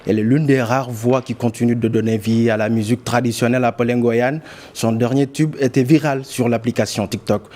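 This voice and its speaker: Male news presenter, mellow dark timbre, mid pitch, semi-scripted reporting. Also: fairly even intonation, brisk. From Senegal.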